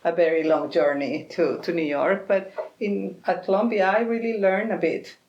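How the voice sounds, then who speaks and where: Gravelly woman from Colombia. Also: lowish voice, fairly even intonation, lo-fi sound. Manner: measured, thoughtful explanations with frequent self-corrections. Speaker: portfolio manager. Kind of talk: interview answers.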